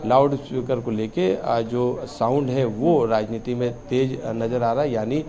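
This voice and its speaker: A man giving an unscripted live news report in a halting way with many fillers. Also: medium pitch, fairly even intonation, a crowd in the background.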